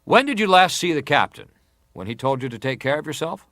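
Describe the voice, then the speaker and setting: A man acting as a senator at an inquiry, putting questions in a formal, deliberate and persistent manner. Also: a little high, very animated, pushed and bright, dry recording. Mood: grave, probing. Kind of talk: dramatized reading of hearing testimony.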